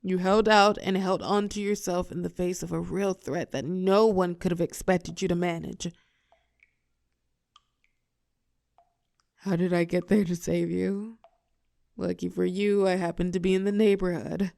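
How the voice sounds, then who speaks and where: A woman playing a telepathic villain and former hero in a roleplay audio drama, protective and sharp-tongued, with a breathy voice that often drops to a whisper. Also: lowish voice, flat delivery, no echo. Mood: calm, reassuring. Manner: slow, commanding yet tender coaching.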